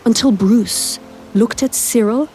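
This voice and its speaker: Woman reading a children's story slowly over music. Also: on the high side, a little mellow, low-quality recording. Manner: dramatic, deliberate storytelling delivery. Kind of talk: expressive read-aloud of a rhyming children's picture book. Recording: music behind the voice.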